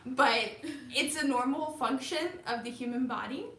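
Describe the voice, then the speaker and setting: A woman's voice: high voice, fairly bright tone. A young woman in her twenties chatting as a guest on a live stream, giggly and full of fillers. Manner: giggly, fast, lots of likes. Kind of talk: casual chat. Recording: echoey room, mic far off.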